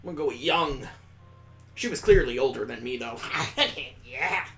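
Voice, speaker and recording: A man's voice: mid-range voice, animated, unhurried, a bit bright, faint music behind. A man reading a visual novel aloud as a let's play host, adding sarcastic, playful asides.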